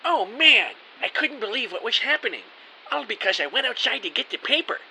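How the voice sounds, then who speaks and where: A man's voice: very high-pitched, sing-song, fairly quick, pushed and bright, exaggerated voice, low-quality recording. A man playing a hapless, anxious everyman, narrating in the first person in an earnest, theatrical way for an audio drama. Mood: alarmed. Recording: faint hiss.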